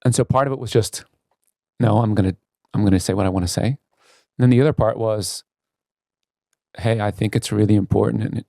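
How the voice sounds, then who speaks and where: Gravelly, mellow man speaking earnestly. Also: lowish voice, dry recording, studio quality. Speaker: tech company CEO, interview guest. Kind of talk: candid podcast interview conversation.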